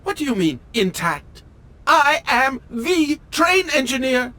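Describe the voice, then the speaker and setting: A man's voice: very high-pitched, very animated, slow pace, pushed and bright, exaggerated voice. A man playing a pompous, melodramatic automaton train engineer in a video game, with formal, fussy and theatrical diction. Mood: stiffly officious. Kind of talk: video game character acting.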